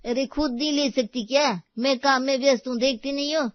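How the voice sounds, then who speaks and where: A woman's voice: high-pitched, steady tone, a little fast, no echo, dull audio, natural voice. A woman voicing a rude housemaid in a storybook tale, curt, complaining and talking back. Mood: rude, irritated.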